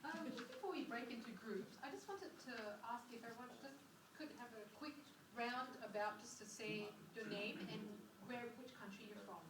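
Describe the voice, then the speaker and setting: A woman hosts a meeting, running introductions in a friendly way with frequent laughter. Her voice is mid-range, picked up from across a reverberant room with clear background noise. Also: fairly high pitch, normal speed, hardly any pauses. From Singapore. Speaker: event organiser and facilitator. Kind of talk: facilitating a group round. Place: meeting room at a live event. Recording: echoey room from far off, noise behind.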